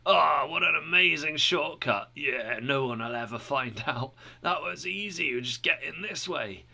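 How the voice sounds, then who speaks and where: A man's voice: fairly high pitch, animated, a little slow, gravelly, pushed and bright, heavily acted. A man voicing two cocky male travelers who laugh off criticism and justify a shortcut, in a brash, dismissive way. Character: Hypocrisy and Formality, cocky wall-climbing men.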